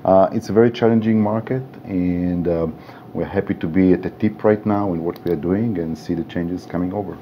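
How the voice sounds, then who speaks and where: Israeli guy on a panel, deep voice, bit muffled with faint hiss. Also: fairly even intonation. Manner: halting, searching for words. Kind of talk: panel discussion. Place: hotel room studio set. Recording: dull sound, faint room hiss.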